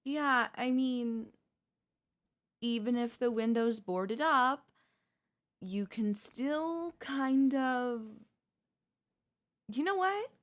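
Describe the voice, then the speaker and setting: A woman's voice: a little high, drawn-out words, pauses often. A woman voicing a chatty, falsely friendly female zombie in a roleplay audio, coaxing the listener in a wheedling, conversational way with hesitant slips. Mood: coaxing, feigned friendliness.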